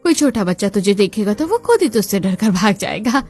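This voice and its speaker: A woman's voice: mid-range voice, sing-song, brisk, darkish tone, slightly rough, soft background music. A woman voicing a mocking, gossipy neighbour in a family cartoon, with a taunting manner.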